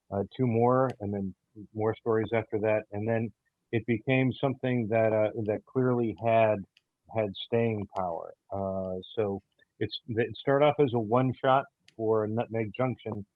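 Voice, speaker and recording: Male interviewee, low-pitched and hesitant, thin phone-like sound with room echo. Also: fairly even intonation. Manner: hesitant, halting, many pauses. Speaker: audio drama creator and writer. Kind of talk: halting interview talk. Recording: tinny, band-limited call audio, roomy echo.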